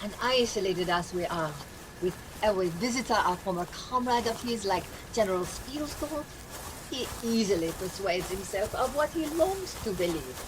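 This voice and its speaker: An actress playing a sensible, caring governess who speaks in a measured, formal way in a radio drama. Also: female voice, medium pitch, expressive, close to an everyday voice. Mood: confiding, caring. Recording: clear noise and ambience behind.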